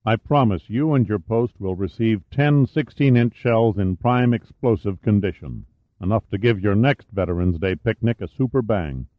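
Man voicing a formal, low-voiced military colonel. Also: animated, lightly acted. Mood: businesslike. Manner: formal, measured, understated. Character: courteous, serious military colonel from Washington. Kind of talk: audiobook character dialogue. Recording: dull, roomy, echoey sound.

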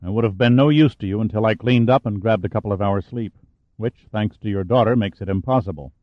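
A man's voice: fairly low pitch, quick pace, no room sound, close to an everyday voice. A man playing a blunt tough guy. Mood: curt. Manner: curt, snapping, sardonic. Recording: dull, muffled dry recording.

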